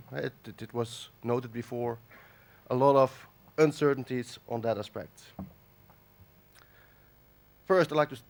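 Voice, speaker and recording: A man from the Netherlands with a cold, giving a halting academic conference lecture with many fillers and restarts. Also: medium pitch, gravelly. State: has a cold. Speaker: conference speaker. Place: conference hall.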